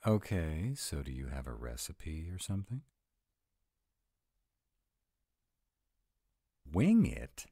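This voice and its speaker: A man's voice: very deep voice, very animated, moderate pace, mellow, breathy edge, no room sound, clean studio sound, heavily acted. A man voicing a grumpy, rule-bound were-dragon boyfriend in a roleplay audio, speaking with fussy, exasperated emphasis.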